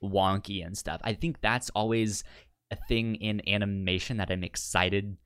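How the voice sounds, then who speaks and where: A man co-hosting a podcast in casual chat, halting and repeating words as he thinks. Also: lowish voice, fairly even intonation, fairly bright tone, clean tone, no room sound. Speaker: podcast co-host.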